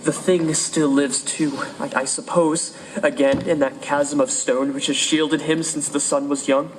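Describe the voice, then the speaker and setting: A man's voice: fairly high pitch, level tone, a little slow, noisy background, lightly acted. A man playing a doomed scholar giving a dread-filled final account, portentous and faltering, in ornate radio-play narration. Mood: dread, foreboding.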